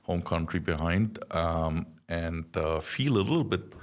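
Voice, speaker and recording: A man in his fifties from Germany, speaking English with a German accent as a podcast guest, halting and full of fillers as he tells his story. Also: sits low, expressive. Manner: halting, many fillers and restarts. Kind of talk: casual conversational interview.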